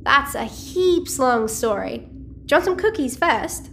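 A woman's voice: high-pitched, lively intonation, a little slow, vocal fry, a bit bright, a little hiss or hum, exaggerated voice. An actress playing a chatty, cheeky, nosy teenage girl in an audio sci-fi drama. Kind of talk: audio-fiction drama dialogue.

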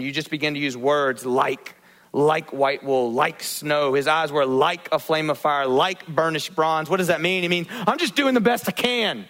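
Animated male pastor preaching. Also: a little high. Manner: dynamic preaching, asks the congregation questions, acts out quotes. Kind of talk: sermon. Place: church.

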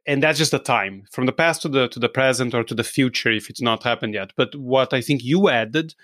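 Brazilian man, podcast host, teasing and quick to laugh, dry close sound. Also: mid-range voice, fairly bright tone, no echo. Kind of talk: conversational interview.

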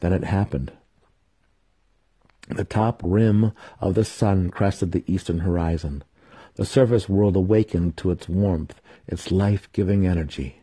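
A man's voice: deep voice, unhurried, dark tone, breathy edge. A man reading fantasy fiction as a grave, dramatic scripted narration. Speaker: audiobook narrator.

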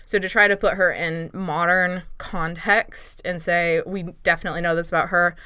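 A young woman with a lowish, smooth, resonant voice talks through a topic in relaxed podcast chat. She strings her thoughts together with plenty of "like" and laughs easily. The sound is close and dry. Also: normal speed, no room sound. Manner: chatty, lots of "like" fillers, laughs often. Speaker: podcast co-host presenting a topic. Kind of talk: casual chat.

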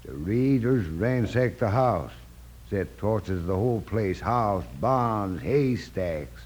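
An actor playing a plainspoken, grim homesteader with an American Western drawl in an old-time radio western. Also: male voice, lowish voice, expressive, slow, a little mellow, a little hiss or hum. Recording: dull, lo-fi old radio recording.

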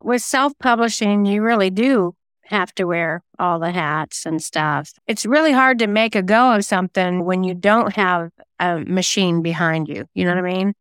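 A woman talks as a guest in a conversational interview. Her voice sits a little low and is smooth and clean. She explains things at length with informal asides, in a dry recording with no room sound. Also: a bit bright, no room sound. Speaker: guest, singer-songwriter and self-published novelist.

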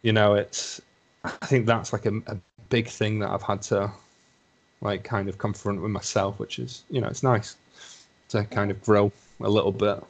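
Lancashire man, low voice, rambling chat. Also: flat delivery, normal speed. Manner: rambling, self-reflective, hedges with "you know". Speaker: podcast guest, assistant psychologist. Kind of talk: casual conversation.

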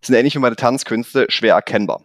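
Fast-talking man with Swabian-Rhineland lilt. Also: medium pitch, no echo, clean studio sound. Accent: mix of Swabian and Rhineland German. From Koblenz, Rhineland, Germany. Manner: fast, energetic, self-joking, frequent fillers. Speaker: podcast guest, training institute manager. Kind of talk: casual interview talk.

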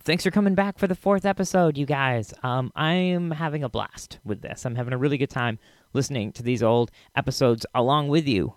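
A man's voice: mid-range voice, brisk, no echo. Casual male podcast host, dry close sound. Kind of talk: casual chat introduction.

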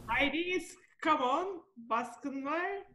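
A woman's voice: high voice, slow, bright, forceful tone, a little hiss or hum. A woman whose first language is Turkish, chatting as a guest, good-humoured and asking direct questions. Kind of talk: casual chat.

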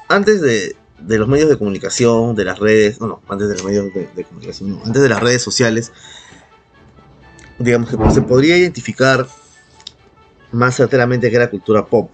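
A man's voice: mid-range voice, fairly quick, faint music behind. A man hosting a podcast in casual, humorous chat, with an irreverent, profane way of talking. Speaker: podcast host.

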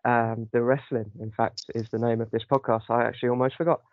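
A man's voice: mid-range voice, little pitch movement, fast, no room sound, dull audio. A man hosting a casual chat podcast with a sore throat, rambling with many ums. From United Kingdom. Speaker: podcast host.

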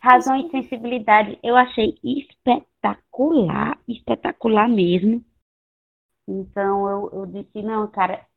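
Over a tinny, phone-like line, a woman with a Northeastern Brazilian accent co-hosts a casual book podcast. Her voice is hoarse and gravelly, and she talks in a blunt, slangy, sweary way. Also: medium pitch, steady tone, measured pace. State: hoarse from two days of partying. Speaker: podcast co-host. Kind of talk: casual chat about books.

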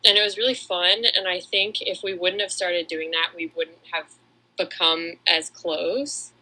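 Bright-voiced woman, casual podcast co-host. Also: lowish voice, fairly even intonation, unhurried, low-quality recording. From Atlanta, United States. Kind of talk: casual chat.

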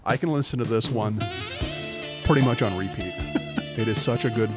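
Laid-back man with a low voice. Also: normal speed, over loud music. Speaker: podcast co-host. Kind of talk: casual chat.

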